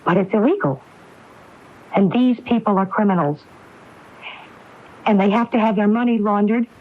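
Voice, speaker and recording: A muffled 1980s AM radio drama recording. An actress plays a determined, grieving woman who presses with probing questions. Her delivery is deliberate and accusing, in a classic radio acting style. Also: medium pitch, slight background noise, lightly acted. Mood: accusing, grieved. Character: determined grieving woman confronting her brother over fraud.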